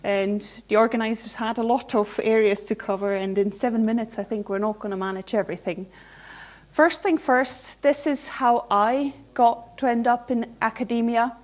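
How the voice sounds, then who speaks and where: A woman's voice: a little high, steady tone, moderate pace. A woman in her thirties from Switzerland with a Swiss accent, a researcher giving an informal conference presentation, candid and conversational with dry humor.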